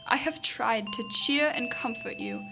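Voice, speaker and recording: High-voiced sorrowful young fiancée played by a woman. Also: gravelly, over music. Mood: sad, resigned. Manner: earnest, measured, formal. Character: sorrowful, gentle young fiancée Belle, resigned. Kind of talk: radio drama acting.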